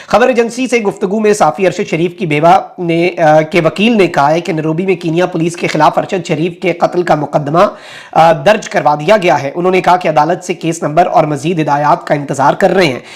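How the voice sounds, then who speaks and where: A man with a Pakistani accent presenting political news commentary to viewers, mixing English phrases into his talk. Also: fairly high pitch.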